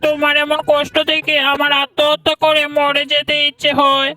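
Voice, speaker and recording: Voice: high voice, completely flat delivery, moderate pace, lightly acted. A performer voicing a poor, humble male fox labourer in a cartoon, earnest and plaintive, with a woman's-sounding voice. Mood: sorrowful. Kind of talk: cartoon voice acting. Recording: distant voice with music underneath.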